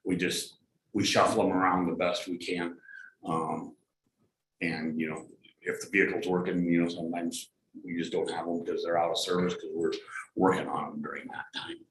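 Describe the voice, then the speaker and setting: Staffer, male, very deep voice, plainspoken and halting, informal meeting explanation, echoey room with background noise. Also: level tone, a bit bright. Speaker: school district transportation staffer. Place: indoor school board meeting room.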